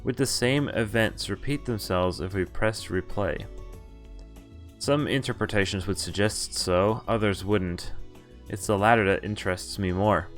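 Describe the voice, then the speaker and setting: A man's voice: on the low side, measured pace, soft background music. A man streaming a visual novel, reading the game text aloud in a half-acted way and breaking off for wry, casual asides.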